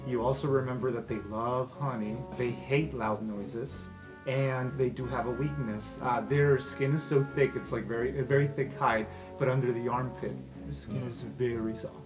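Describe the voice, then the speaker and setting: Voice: mid-range voice, fairly even intonation, normal speed, rough recording. Casual game master over background music. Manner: casual, informal, swearing freely. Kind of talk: improvised tabletop game narration and table talk. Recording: music under the talk.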